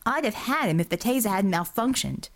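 A woman's voice: fairly high pitch, sing-song, a little fast, pitched above the performer's own voice. Woman acting grumbling, laconic male field agent. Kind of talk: audiobook character dialogue.

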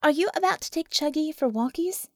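A woman voicing a brisk, friendly young female technomage in an audiobook, peppering her orders with Russian words. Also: high-pitched, animated, no room sound, studio quality, pitched above the performer's own voice. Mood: friendly, casual.

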